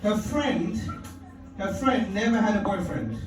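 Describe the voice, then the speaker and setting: High-voiced man doing stand-up. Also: animated, measured pace, a crowd in the background. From London. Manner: wry, profane, chuckles at his own lines. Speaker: stand-up comedian. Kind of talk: stand-up comedy set. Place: comedy club stage, indoors. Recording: echoey club audio from far off.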